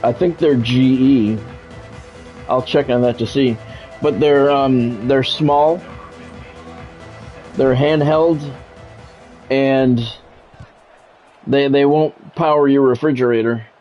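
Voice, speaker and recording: A man's voice: mid-range voice, fairly even intonation, takes it slowly, pauses often, highs cut off, faint music behind. A middle-aged man doing live football game commentary mixed with casual chat, talking in a blunt, profane, conversational way. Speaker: game streamer and play-by-play commentator.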